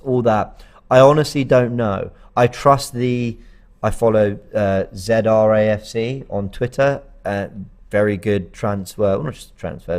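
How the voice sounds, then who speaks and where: A man's voice: mid-range voice, darkish tone. A man co-hosting a live football fan show, talking casually and rambling with lots of fillers and restarts. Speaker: fan channel co-host.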